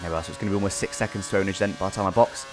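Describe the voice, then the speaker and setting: Male racing-game commentator, rapid-fire and slightly low-voiced, casual and explanatory, music behind. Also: fairly even intonation. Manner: explanatory, recapping. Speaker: video creator commentating on his own racing game race.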